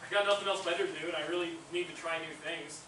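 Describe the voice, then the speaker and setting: A man's voice: fairly high pitch, fairly even intonation, racing, sharp tone, far from the mic. A man playing a clueless stand-in narrator who hesitantly fumbles through exposition in a comic stage reading. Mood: sheepish. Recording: echoey stage recording from afar, faint noise.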